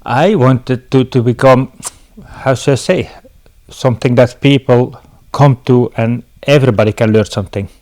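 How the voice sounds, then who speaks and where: Casting: middle-aged male interview guest, Belgian accent, medium pitch, conversational delivery. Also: moderate pace, a little mellow. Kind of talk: casual interview answers.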